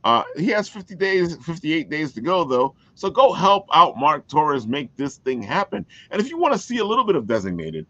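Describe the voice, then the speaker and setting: A man's voice: on the high side, very animated, normal speed, hardly any pauses, sharp tone, dry recording. Guy hosting a podcast, hyped casual plugs, voice goes up and down a lot, dry but dull audio. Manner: enthusiastic, plugging. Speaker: podcast host. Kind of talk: casual promotional chat.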